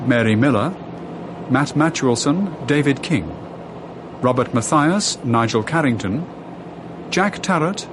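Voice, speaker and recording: Male announcer, medium pitch, slow pace, very varied intonation, scripted radio credits, noisy background.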